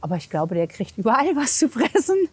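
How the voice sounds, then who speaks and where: A woman's voice: a little high, big pitch swings, brisk, husky. A woman talking casually and informally as a chatty host, chatting to the camera and with clients. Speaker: horse physiotherapist, host.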